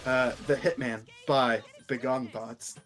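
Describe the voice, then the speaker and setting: A man's voice: mid-range voice, steady tone, takes it slowly, a bit bright. A man streaming a casual, stumbling read-aloud of fan fiction, breaking off to comment. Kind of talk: casual fanfic reading with commentary.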